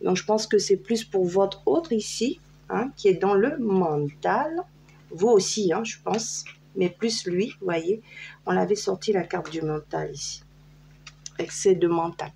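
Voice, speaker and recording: A woman's voice: mid-range voice, measured pace, vocal fry, warm tone, a little hiss or hum. A French woman giving a casual tarot reading, chatty and hesitant, with many fillers. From France. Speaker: tarot card reader. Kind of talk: casual tarot guidance video.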